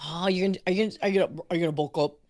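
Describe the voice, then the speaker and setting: A woman with a raspy voice chatting over a video call, giggly, often trailing off mid-sentence. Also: on the low side, steady tone, very fast, a little mellow, no echo. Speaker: podcast guest. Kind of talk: casual chat between friends.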